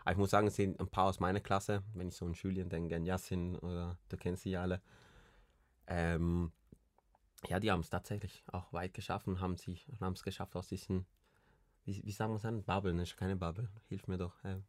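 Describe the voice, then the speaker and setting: Tiefe Stimme, junger Mann aus Kolumbien, trockener Klang, lockeres Plaudern mit vielen Füllwörtern. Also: male voice, deep voice, level tone, a little fast, no room sound. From Colombia. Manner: rambling, many restarts and fillers. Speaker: podcast co-host. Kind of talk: casual chat.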